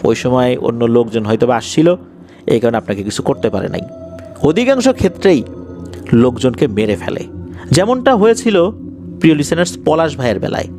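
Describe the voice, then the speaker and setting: A man with a Bangladeshi accent hosts a horror story show, narrating suspensefully to his listeners with drawn-out words and pauses. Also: medium pitch, sing-song, a little mellow, faint music behind.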